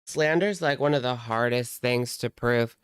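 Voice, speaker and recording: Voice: medium pitch, measured pace, clean tone, no room sound. A reaction channel host talking casually in a blunt, mocking and sardonic way while commenting on a video.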